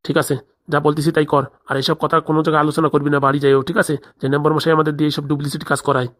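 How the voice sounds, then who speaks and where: A woman's voice: low-pitched, flat delivery, rapid-fire, natural voice. A woman voicing a crooked, bossy male village boss in a comedy cartoon, scheming aloud with a rural Bengali accent.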